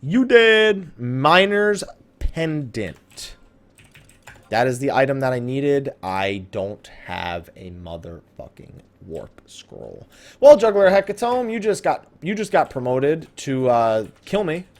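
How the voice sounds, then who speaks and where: A man's voice: medium pitch, sing-song, takes it slowly. A man giving casual let's play commentary on a video game, swearing and griping with sarcasm. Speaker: host of a gaming let's play series.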